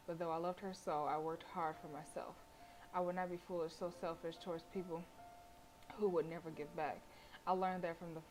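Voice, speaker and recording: Woman streamer reading out a visual novel, kinda low voice, expressive, game music behind. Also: rough recording. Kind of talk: reading visual novel narration aloud with casual reactions.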